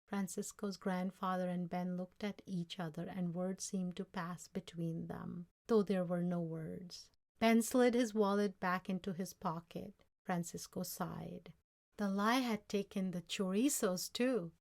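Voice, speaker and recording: Slow, measured woman reading a storybook. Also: lowish voice, fairly even intonation, vocal fry, darkish tone. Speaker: story reader. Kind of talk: children's storybook read-aloud.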